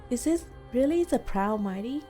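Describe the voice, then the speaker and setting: A woman's voice: on the high side, expressive, moderate pace, mellow. A woman game streamer giving casual live reactions as she plays. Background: game music.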